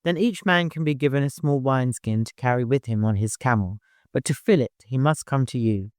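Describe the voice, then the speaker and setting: A man voicing a taciturn, practical desert guide with a raspy, measured delivery in an audiobook. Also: medium pitch, darkish tone, no echo, studio quality, close to an everyday voice. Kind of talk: audiobook character voice.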